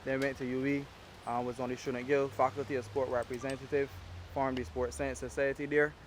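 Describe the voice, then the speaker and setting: A young Barbadian man with a Barbadian accent, an activist telling his story in a casual, animated interview. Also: mid-range voice, a little fast, slight background noise. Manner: animated storytelling in Caribbean dialect. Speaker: award-winning activist guest.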